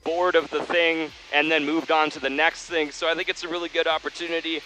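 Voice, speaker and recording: A man's voice: a little high, level tone, brisk, pushed and bright, a little hiss or hum, low-quality recording. A man hosting a casual, playful interview, speaking on a headset in a small plane. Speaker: interview host. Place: small plane cabin in flight.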